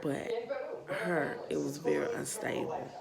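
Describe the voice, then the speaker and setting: A woman in her thirties with a Southern US accent, speaking earnestly and haltingly as a parent who runs a nonprofit, her voice a bit worn. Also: a bass voice, big pitch swings, vocal fry, over a noisy crowd. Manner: slow, earnest, halting with pauses and restarts. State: losing her voice. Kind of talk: personal vlog testimony.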